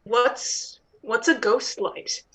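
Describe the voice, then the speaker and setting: Woman as sullen, slow-talking skeptical kid. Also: a little high, fairly even intonation, fairly bright tone. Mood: curious. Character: sullen, skeptical child questioning a parent. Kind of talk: audio drama acting.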